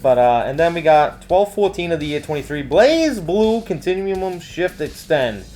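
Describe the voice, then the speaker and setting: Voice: medium pitch, very animated, normal speed, mellow, soft background music. A co-host of a casual news show who rambles jokily through announcements, breaking into goofy exclamations. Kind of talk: casual comedic banter while reading event announcements.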